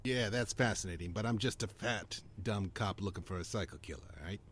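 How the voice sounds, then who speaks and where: A man playing a gruff, blunt, tired police detective who says little, in a radio-play reading. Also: on the low side, a little fast, a little hiss or hum, lightly acted. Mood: impatient, weary. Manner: blunt, laconic. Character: gruff, world-weary, self-deprecating police detective.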